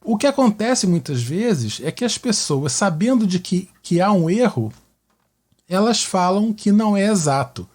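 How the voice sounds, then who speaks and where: Husky, higher-pitched Carioca man explaining. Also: sing-song, a little slow, darkish tone. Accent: Carioca Brazilian Portuguese. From Rio de Janeiro, Brazil. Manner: didactic, with frequent tag questions seeking agreement. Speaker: podcast host and mathematics teacher. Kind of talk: conversational explanation answering listener questions.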